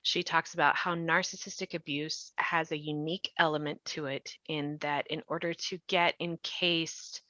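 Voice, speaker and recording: A woman with a low-pitched, forceful voice hosts a casual discussion. She explains and comments conversationally, and the audio is very dry with a slightly dull, muffled top end. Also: flat delivery, moderate pace, few pauses, no echo. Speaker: podcast host and writer.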